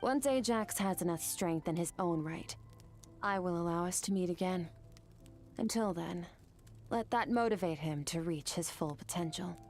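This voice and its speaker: A woman voicing an aloof, powerful sword master in a video game, calm, measured and haughty. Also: fairly low pitch, faint music behind, close to an everyday voice. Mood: calm, calculated. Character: aloof, powerful female sword master, centuries old, coldly detached.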